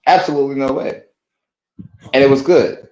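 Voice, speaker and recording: A man's voice: mid-range voice, steady tone, normal speed, a little mellow, muffled recording. A man hosting a live stream with casual, profane banter, speaking bluntly and repeating short phrases. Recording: roomy, echoey sound.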